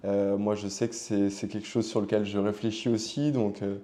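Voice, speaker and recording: A man's voice: fairly low pitch, flat delivery, moderate pace, dark tone. A man hosting a podcast interview in a casual, conversational style, feeling his way through informal, hesitant phrasing. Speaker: podcast host.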